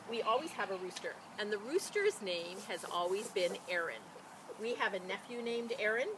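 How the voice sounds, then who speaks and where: Animated woman storyteller, noisy outdoor recording. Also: mid-range voice. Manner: animated, explains things simply for children. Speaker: school storyteller reading a picture book. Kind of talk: casual introduction and expressive children's read-aloud. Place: outdoors beside a chicken coop.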